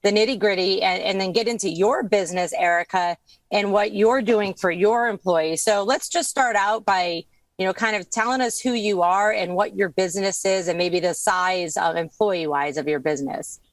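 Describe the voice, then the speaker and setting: A woman's voice: medium pitch, moderate pace, dry recording. A woman hosting a podcast who is losing her voice, talking in a chatty, enthusiastic way with plenty of fillers. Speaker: podcast host. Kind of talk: casual podcast chat.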